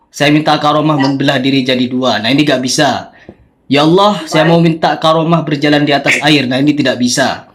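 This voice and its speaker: A man with an Indonesian accent, assertive and explanatory, arguing his point in a phone discussion. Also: on the high side. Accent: Indonesian, non-Sundanese.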